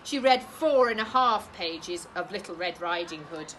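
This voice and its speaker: Female British teacher for children's story reading: bright, very animated, emphatic phrasing, slightly noisy recording. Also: on the low side, unhurried. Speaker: primary school teacher.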